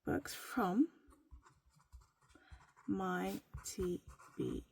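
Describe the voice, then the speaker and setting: A woman from Norway, whose first language is Norwegian, chatting casually in a playful, rambling way that sometimes drops to a soft whisper while she writes in her journal. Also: medium pitch, wildly swooping pitch, drawn-out words, gravelly, husky. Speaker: vlogger.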